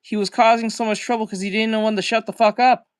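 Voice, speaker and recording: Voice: mid-range voice, fairly even intonation, fast, clean tone. Offhand swearing reaction host. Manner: offhand, swearing, trailing off quietly. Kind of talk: casual reaction commentary.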